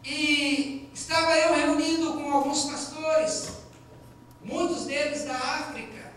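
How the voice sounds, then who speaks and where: A man's voice: extremely high pitch, steady tone, takes it slowly, a bit bright, slight background noise. A man with a Minas Gerais Brazilian accent, preaching a sermon as pastor in a conversational way and stressing key lines. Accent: Minas Gerais Brazilian Portuguese. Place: church sanctuary. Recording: very echoey church hall, distant mic.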